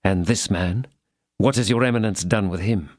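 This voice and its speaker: A man's voice: lowish voice, moderate pace, darkish tone, dry recording, studio quality, lightly acted. A man voicing a loyal count who reports plainly and deferentially to his master. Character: loyal, businesslike male count and agent.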